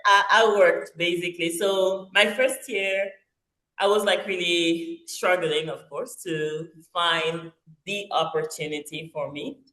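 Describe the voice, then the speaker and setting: A woman in her forties, from Congo and raised in France, telling her story as an interview guest, halting often with fillers and restarts. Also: fairly low pitch, fairly even intonation, fairly bright tone. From Congo-Kinshasa, raised in France. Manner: hesitant, many fillers and restarts. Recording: echoey roomy sound.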